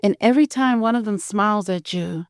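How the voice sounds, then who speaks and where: A dry, close recording of a woman playing a middle-aged wife in an audio drama. She is soft-spoken and hesitant, weary with self-doubt and hurt. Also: medium pitch, a little fast, no room sound, voice pushed up, natural voice. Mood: insecure, weary.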